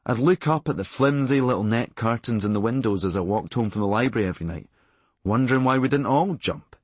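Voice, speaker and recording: A man with a Glasgow Scottish accent reading a scripted memoir, with a deadpan, darkly humorous delivery. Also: medium pitch, brisk, no room sound. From Glasgow, Scotland. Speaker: audiobook narrator and author.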